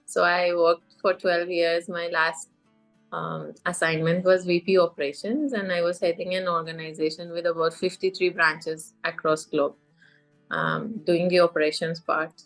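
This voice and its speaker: A woman's voice: lowish voice, level tone, moderate pace, gravelly, soft background music. A middle-aged woman from Iran, a life coach, telling her story in a podcast interview with many fillers and restarts.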